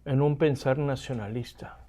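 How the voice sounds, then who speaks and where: A man's voice: medium pitch, steady tone, normal speed, warm tone. A man from Argentina with an Argentine accent, giving a classroom lecture and often asking the students rhetorical questions. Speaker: seminary lecturer.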